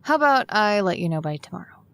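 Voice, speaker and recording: A young woman worn down and frustrated, speaking in an everyday, exasperated way. Her voice sits at a middle pitch, and she can be sarcastic with her best friend, in the manner of an audio drama. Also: big pitch swings, fast talker, a bit bright, no room sound. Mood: weary, unsure. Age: young adult. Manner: casual, exasperated.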